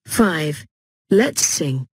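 A woman announcing textbook exercise numbers and titles in a slow, measured way. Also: low-pitched, big pitch swings.

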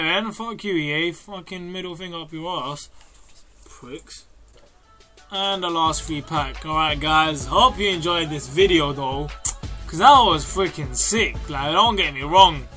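A young man, giving loud, excitable, sweary commentary over a game, his voice going hoarse. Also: high voice, unhurried. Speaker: gaming YouTuber. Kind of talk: excited pack-opening commentary. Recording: game music playing behind.

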